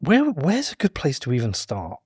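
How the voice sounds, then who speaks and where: An English man hosting an interview podcast, with a mid-pitched voice. He talks in a relaxed, self-deprecating way and thinks aloud with frequent "um" and "like". The recording is dry and close-miked. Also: moderate pace, breathy edge, dry recording. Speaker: podcast host. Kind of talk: conversational interview.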